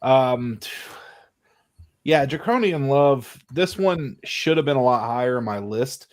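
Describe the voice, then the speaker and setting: A man's voice: medium pitch, a little mellow. Hesitant man in casual chat. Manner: hesitant, filler-heavy. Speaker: podcast co-host.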